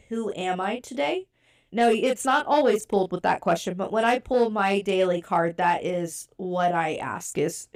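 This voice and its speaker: A woman co-hosting a podcast, born in Europe and raised in the United States, talking in a chatty, candid, self-deprecating way. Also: mid-range voice. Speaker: podcast co-host. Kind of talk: casual podcast talk.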